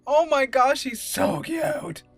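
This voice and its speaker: A woman's voice: sits high, lively intonation, slow. Woman doing roleplay game commentary, music behind. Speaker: let's play host. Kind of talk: casual game roleplay commentary, reading dialogue in character.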